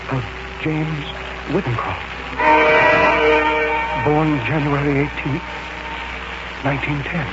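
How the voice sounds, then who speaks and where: A man's voice: mid-range voice, lightly acted. A man playing a doomed, fearful, bitter artist in a 1940s radio drama, with an agitated, fatalistic delivery. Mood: uneasy, shaken. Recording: muffled, noisy 1940s radio recording with hum.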